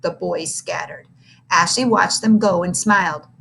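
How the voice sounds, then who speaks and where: A woman reading a children's book aloud, animated and acting out every character. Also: fairly low pitch, unhurried, gravelly, a little hiss or hum. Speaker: reader.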